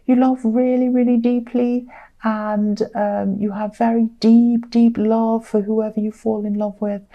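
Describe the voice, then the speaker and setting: A tired woman with a slightly croaky voice, giving a conversational tarot reading to viewers in an earnest, gently advising way, repeating phrases for emphasis. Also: fairly high pitch, level tone, measured pace, lo-fi sound. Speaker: tarot reader.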